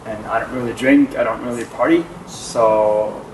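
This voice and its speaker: A man's voice: mid-range voice, fairly even intonation, normal speed, noisy background. A man from Norway, a native Norwegian speaker, giving easygoing, earnest interview answers as an actor. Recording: roomy, distant mic, music underneath.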